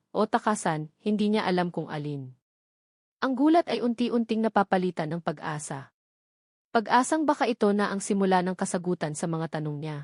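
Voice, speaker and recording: Female Filipino storyteller for dramatic romance audiobook, mid-pitched, dry studio-like sound. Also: dry recording.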